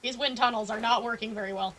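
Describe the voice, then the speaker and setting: High-voiced, playful woman streaming. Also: fairly even intonation, quick pace, crowd noise. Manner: playful, teasing. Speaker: live streamer. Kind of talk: casual gameplay commentary.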